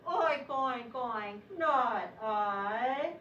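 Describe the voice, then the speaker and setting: A woman's voice: on the high side, animated, very slow, a bit bright, dull audio, heavily acted. A woman voicing a lazy farm pig with repetitive oinks and blunt replies in a children's puppet show. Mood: lazily refusing. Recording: echoey room, distant microphone.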